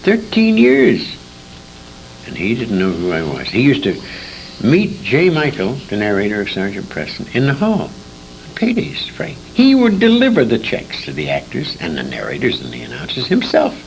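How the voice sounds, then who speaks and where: A man's voice: huge pitch swings, normal speed, creaky. An older American man reminiscing in an interview, telling anecdotes emphatically and repeating words for emphasis. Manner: emphatic storyteller, acts out quoted dialogue, repeats words for emphasis. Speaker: interviewee, veteran radio performer recalling his career. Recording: noisy 1980s convention interview tape, rumble.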